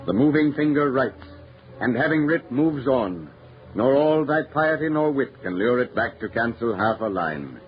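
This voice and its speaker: A man narrating a scripted old-time radio mystery in a formal, portentous manner. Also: mid-range voice. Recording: dull, band-limited old radio recording. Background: music.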